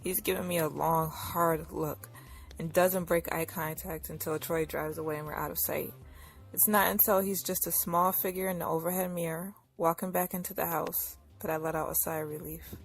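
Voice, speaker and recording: A woman's voice: deep voice, steady tone, moderate pace, gravelly, faint music behind. An actress playing a young married woman in an audio drama, narrating and talking in a wry, conversational way. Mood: tense. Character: Iris, weary young married woman narrating her own story. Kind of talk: first-person audio drama narration and dialogue.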